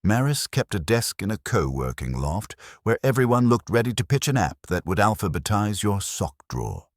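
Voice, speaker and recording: A man reading a scripted first-person detective story in a wry, deadpan way. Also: fairly low pitch, normal speed, no room sound, studio quality.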